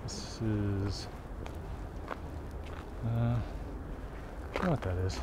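A man's voice: lowish voice, fairly even intonation, very slow. A man narrating casually while walking outdoors across a snowy campus, musing aloud and unsure of building names. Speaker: walking tour guide. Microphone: camera carried while walking. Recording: outdoor wind and traffic noise. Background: wind and street noise.

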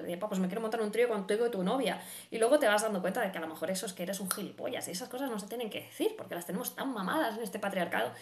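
Woman, Spain Spanish accent, mid-range pitch, colloquial book reviewer, effusive, emphatic repetition, roomy home recording. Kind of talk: casual spoken book review to camera. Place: small room at home, indoors.

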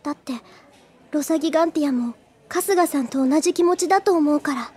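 A woman's voice: sits high, a little fast, a little mellow, lightly acted. An actress playing an earnest, easily flustered teenage schoolgirl in an anime audio drama, with a hesitant, stammering manner. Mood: earnest, wistful. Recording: music behind the voice. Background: background music.

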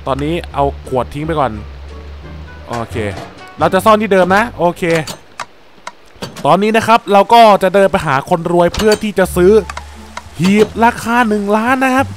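A young man with a high, bright voice chats excitedly through a game session, throwing out exclamations and jokes to his viewers. Soft game music plays faintly under his desk-mic voice. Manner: excitable, exclaims often, jokey. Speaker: Minecraft gameplay YouTuber. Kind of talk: playful gameplay commentary.

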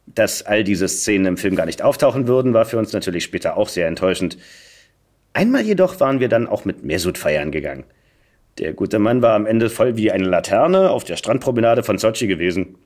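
A man with a slightly low voice reads a comic story aloud. His pitch moves around a lot, giving the narration an animated shape, while the delivery stays dry and wry. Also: fairly quick. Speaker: podcast guest, voice actor. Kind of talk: expressive reading aloud of a satirical first-person story.

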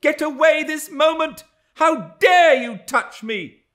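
A man's voice: very high voice, slow, a bit bright, pitched above the performer's own voice, full character voice. A man voicing a proud, scornful young lady in an audiobook, with a biting, indignant manner. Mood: disgusted fury. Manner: haughty, disgusted, biting. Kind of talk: dramatised audiobook reading of a classic novel.

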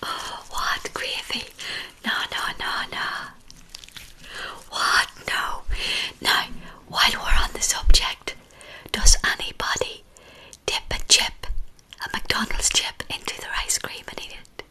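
A woman's voice: slow, sharp tone. A woman whispers playfully and casually to live viewers, close to the mic, often repeating phrases. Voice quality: breathy whisper. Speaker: ASMR live streamer. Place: small home room, indoors. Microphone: large microphone right in front of mouth.

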